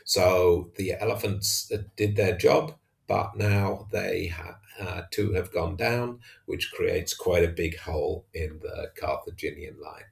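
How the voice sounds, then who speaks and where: Low-voiced man with a gravelly edge. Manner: hesitant, halting delivery. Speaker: tabletop wargamer narrating own battle report. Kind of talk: unscripted match recap commentary.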